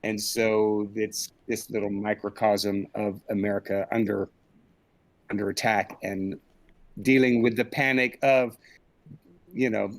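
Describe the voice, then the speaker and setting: A man's voice: fairly low pitch, flat delivery, unhurried, rough recording. A middle-aged man talking conversationally as an interview guest on a group video call from a room indoors. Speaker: interviewed director and audio drama creator.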